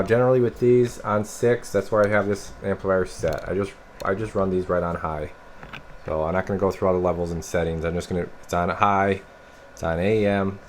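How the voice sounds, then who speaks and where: Low-voiced American man, noisy background. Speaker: CB radio technician demonstrating equipment. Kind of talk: casual hands-on demonstration. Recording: steady noise behind the voice. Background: clear background noise.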